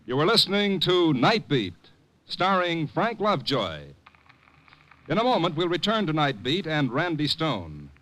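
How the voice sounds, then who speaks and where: A man's voice: fairly high pitch, wildly swooping pitch, measured pace, sharp tone. A man announcing in 1950s radio, polished and promotional. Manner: polished, promotional, rising and falling. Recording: old radio broadcast.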